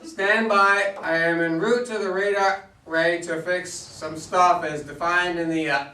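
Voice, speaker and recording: A man playing a joking, scatterbrained astronaut in an amateur play reading, with halting, slightly muddled radio talk. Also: sits high, steady tone, pushed and bright. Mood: breezy, loose. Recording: echoey room, distant microphone.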